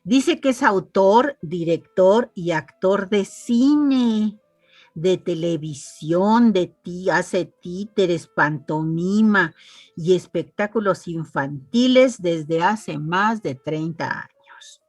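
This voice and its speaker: A woman's voice: on the low side, animated, takes it slowly, darkish tone, dry recording, soft background music. A woman hosting an online interview, chatty and reading a guest's résumé aloud with asides. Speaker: interviewer and host. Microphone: video call.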